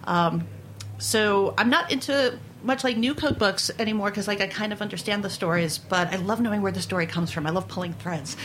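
A woman from Brooklyn, New York, talking candidly and conversationally as an interviewed baker, with animated, sweary storytelling. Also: mid-range voice, fairly quick, slight background noise. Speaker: interviewed baker and food writer.

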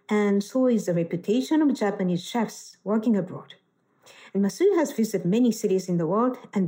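A woman's voice: mid-range voice, mellow. A middle-aged woman hosting a live radio talk show, chatty and laughing easily. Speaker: podcast host.

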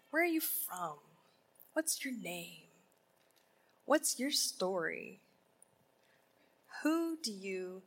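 Slow, bright-voiced woman preaching. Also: medium pitch. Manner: deliberate, emphatic, asks rhetorical questions. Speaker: Bible teacher at a women's conference. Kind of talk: sermon. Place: conference ballroom.

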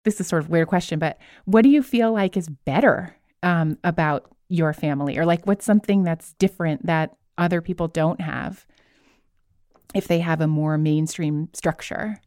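A woman's voice: deep voice, normal speed, darkish tone, dry recording, clean studio sound. A woman hosting a podcast, moving between storytelling narration and conversational interviewing.

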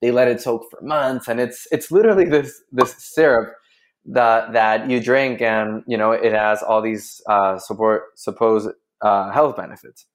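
A man's voice: medium pitch, fairly even intonation, measured pace. A man from Chile, a guest on a podcast, telling a story in casual chat and laughing often. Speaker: guest, drinks brand founder.

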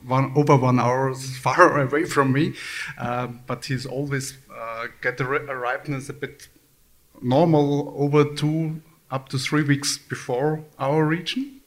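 A man's voice: mid-range voice, steady tone, measured pace, a bit bright. A man speaking as a winemaker on a live panel in a language, searching for words and hesitating.

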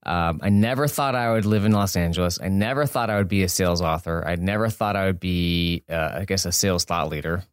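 A man's voice: low voice, fairly quick, hardly any pauses, clean tone, dry recording, studio quality. A man in his forties giving a casual interview as a guest, informal and self-effacing, rambling a little as he explains. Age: 40s. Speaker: podcast guest, business author. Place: indoor studio space.

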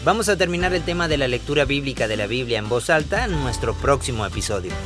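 A man's voice: medium pitch, expressive, fast, music in the background, close to an everyday voice. A man dubbing a podcast co-host who asks follow-up questions and also reads the closing thanks, recorded in a studio. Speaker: podcast co-host and outro announcer, Spanish dub. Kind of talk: dubbed conversational podcast.

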